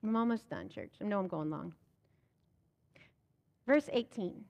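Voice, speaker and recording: A woman's voice: medium pitch, sing-song, a little slow, vocal fry, darkish tone, big-room sound. A young American woman pastor preaching a sermon from the pulpit of a church sanctuary, asking rhetorical questions and building to emphatic, rising lines. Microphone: pulpit microphone.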